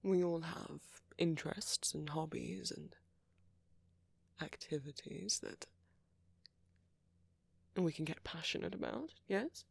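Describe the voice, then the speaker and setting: Husky, flirty gentleman vampire played by a man. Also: a little high, slow pace, pauses often, dry recording, exaggerated voice. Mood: gentle, teasing. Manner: gently teasing, polite old-fashioned phrasing, soft chuckles. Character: friendly, flirty, courteous male vampire, teasing yet reassuring. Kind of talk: ASMR roleplay, intimate one-sided dialogue to the listener. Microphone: close microphone.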